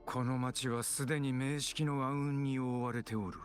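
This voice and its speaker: An actor playing a grave, terse warrior with archaic, solemn speech in a Japanese video game, music behind him. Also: male voice, medium pitch, steady tone, fast, natural voice. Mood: ominous.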